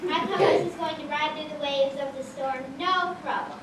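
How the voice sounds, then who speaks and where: A woman's voice: sits high, moderate pace, natural voice. A woman voicing an easygoing, confident young mermaid puppet in a children's puppet show, breezy and self-assured. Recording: echoey hall, distant mic, noisy.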